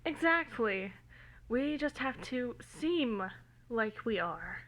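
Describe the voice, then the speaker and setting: A woman voicing a composed, mildly sardonic young man in a story, coaxing and measured, recorded on a phone. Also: fairly high pitch, expressive, fairly bright tone, slight background noise. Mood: wry.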